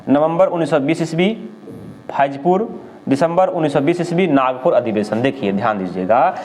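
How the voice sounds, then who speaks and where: Bihari guy teacher, kinda high voice, going thru exam questions, repeats answers and keeps quizzing. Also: a little mellow. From India. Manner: didactic, repeats answers and asks rhetorical check questions. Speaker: history teacher. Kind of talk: exam question-bank lesson, reading questions and answers aloud.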